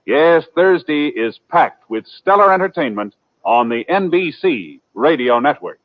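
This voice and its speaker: A man's voice: fairly high pitch, sing-song, measured pace, slight background noise. A man with an American accent reading scripted announcements as a 1950s network radio announcer. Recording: dull vintage radio recording.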